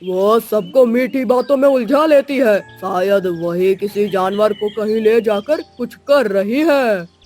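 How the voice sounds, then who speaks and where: A man voicing an earnest, anxious, loyal bear in a children's animated story, speaking respectfully. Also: sits high, slow pace, faint music behind. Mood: worried.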